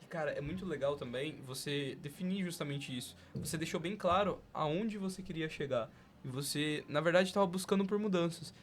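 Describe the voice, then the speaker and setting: A man's voice: on the high side, brisk. A young man with a Brazilian accent hosting a podcast in casual, slangy conversation. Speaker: podcast host.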